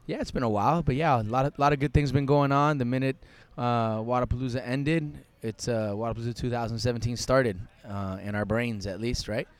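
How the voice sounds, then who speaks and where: Easygoing man, mid-low voice, smooth tone; casual podcast guest, joking, lots of fillers. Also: a little fast, few pauses. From United States, Cuban family. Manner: relaxed, joking, with many fillers. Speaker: co-founder of a fitness festival, podcast guest.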